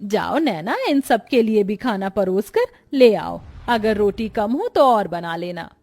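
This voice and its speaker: A woman's voice: medium pitch, lively intonation, unhurried, darkish tone, faint music behind. A woman voicing a middle-aged mother-in-law who gives commanding orders in a cartoon family story. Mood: ordering. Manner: commanding, matter-of-fact demands. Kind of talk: animated moral story dialogue.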